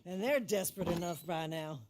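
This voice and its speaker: A woman's voice: on the low side, brisk, noisy background, natural voice. A woman playing a commanding, vengeful older criminal matriarch with a salty tongue in a dramatic game cutscene. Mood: calm, commanding.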